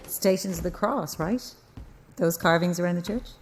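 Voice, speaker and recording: An actress playing a blunt, sardonic Irish news reporter in a naturalistic audio drama. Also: female voice, fairly low pitch, moderate pace, a little hiss or hum, lightly acted. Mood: curious. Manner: dry, sardonic.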